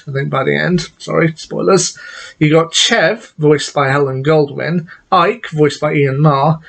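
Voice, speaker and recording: A man giving a casual, enthusiastic spoken review of an audio drama, chatting with restarts and asides. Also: on the high side.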